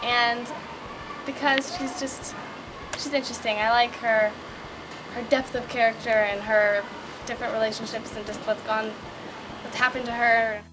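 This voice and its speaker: Female, casual interview answer, highish voice, off-mic, noticeable noise. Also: little pitch movement, moderate pace, long pauses. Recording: distant mic, noisy background.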